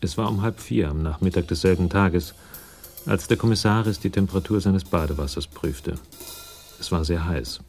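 An actor as the composed narrator of a detective radio drama, reading scripted prose. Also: male voice, low-pitched, normal speed, a little mellow, faint music behind. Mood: calm, storytelling.

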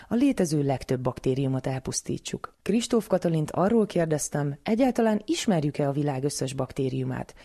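Mellow-voiced woman hosting a science programme. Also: sits low. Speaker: science programme host and interviewer. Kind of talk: scripted narration and interviewing.

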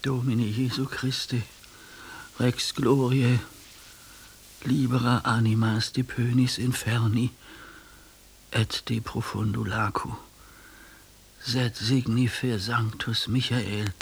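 An actor playing an anguished, guilt-ridden man praying near death in a radio play, slow and halting, with a husky, breathy voice. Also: medium pitch, flat delivery, a little hiss or hum. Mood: solemn prayer. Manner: slow, halting confession, often near whisper. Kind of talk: German radio play acting.

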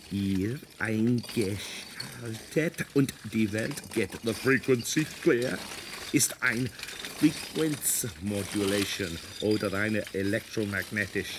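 Clear mechanical noise surrounds a brooding, gravelly announcer of an infernal radio station. He speaks very slowly in broken fragments, switching between German and English as in an experimental radio play. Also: on the low side. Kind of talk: experimental radio drama monologue.

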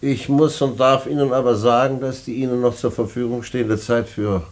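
An actor playing a stern prison director in a German radio play, officious, curt and skeptical, with formal bureaucratic phrasing and a husky voice. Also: male voice, medium pitch, fairly even intonation, normal speed, darkish tone, close to an everyday voice. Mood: stern, official.

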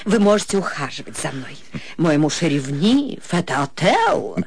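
An actress playing a coquettish, affected middle-aged provincial wife and hostess in a radio drama. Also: female voice, low-pitched, big pitch swings, unhurried, darkish tone, slightly rough, full character voice. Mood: playful, coquettish. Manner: flirtatious, affected. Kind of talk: Russian radio drama acting.

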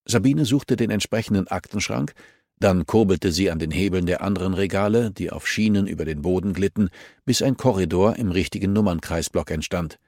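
Low-voiced man narrating an audiobook. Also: fairly quick, darkish tone, dry recording, clean studio sound. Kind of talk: scripted narration of a novel.

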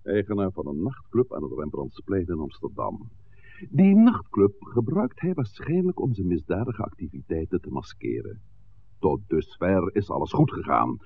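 A man acting a calm, authoritative village priest in a 1960s radio play, speaking deliberately and oratorically. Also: deep voice, vocal fry, slightly rough, heavily acted. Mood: measured, firm. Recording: tinny, noisy 1960s radio recording.

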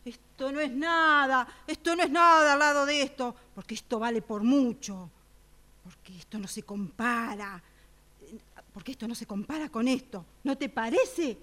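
Woman acting a frantic high-pitched match fan. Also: moderate pace. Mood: insistent. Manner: shouting, exclamatory, repetitive. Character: frantic foul-mouthed fan yelling at a match. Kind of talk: radio drama acting exercise.

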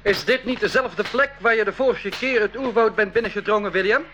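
A man's voice: sits high, fairly quick, close to an everyday voice. A man playing a keen, wry boy adventurer in a 1980s school radio drama. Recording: slightly noisy 1980s radio recording.

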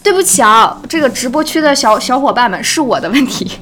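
A woman's voice: high-pitched, expressive, brisk, a crowd in the background. A woman hosting a live online event in a chatty way, often repeating and rephrasing as she steers the talk along. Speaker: livestream host.

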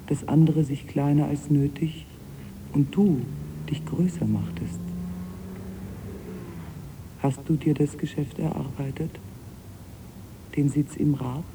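An actress playing an unhappy, devoted and bitter wife in a 1980s German radio drama, speaking in a deliberate, reflective, literary way. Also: female voice, sits low. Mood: reproachful. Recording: noisy cassette copy of radio play.

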